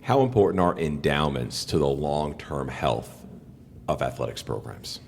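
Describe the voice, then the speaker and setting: Male podcast interviewer, broadcaster type, extremely low-pitched, posing questions over faint noise. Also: expressive, measured pace, vocal fry.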